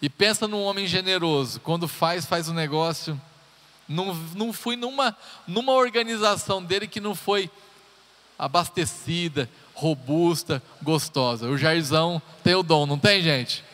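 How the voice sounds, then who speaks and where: Guy in his 30s preaching, kinda high voice, joking around w the church, big hall echo. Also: moderate pace, a bit bright. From Brazil. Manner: chatty and joking, talks straight to the congregation. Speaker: pastor. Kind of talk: sermon introduction, personal stories. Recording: live church hall reverb.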